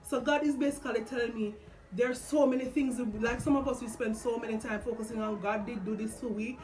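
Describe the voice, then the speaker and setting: A young woman with a Jamaican accent chatting casually about faith and scripture, expressive and slipping between English and Patois. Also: a little high, fairly quick. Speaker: vlog host. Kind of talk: casual faith chat, retelling and reading scripture. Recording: music behind the voice. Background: background music.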